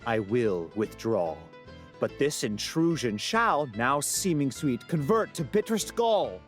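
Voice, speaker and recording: An actor playing a proud, resentful, hot-headed young nephew in a classical Shakespearean style. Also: male voice, medium pitch, big pitch swings, a little slow, soft background music. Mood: seething. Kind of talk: classical Shakespearean stage acting.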